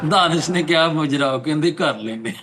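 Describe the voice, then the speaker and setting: A man's voice: a little high, a little fast, noisy background. A man with a Pakistani Punjabi accent chatting casually as a panelist on a comedy show. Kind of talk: casual group banter.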